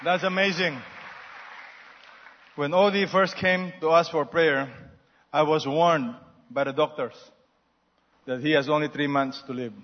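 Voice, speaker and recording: A man's voice: on the high side, fairly even intonation, measured pace, long pauses, some chatter behind. A man preaching a sermon as pastor, emphatic and exhorting. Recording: dull sound in a reverberant hall.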